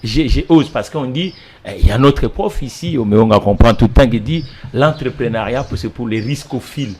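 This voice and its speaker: A man's voice: mid-range voice, normal speed, a little mellow, a little hiss or hum. A man interviewing a guest, prompting her and finishing her sentences. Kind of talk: interview talk.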